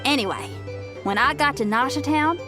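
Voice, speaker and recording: A woman's voice: high-pitched, moderate pace, close to an everyday voice. Woman voicing an upbeat slangy rock musician girl. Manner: slangy, energetic, laid-back talk. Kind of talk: video game character dialogue. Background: game music.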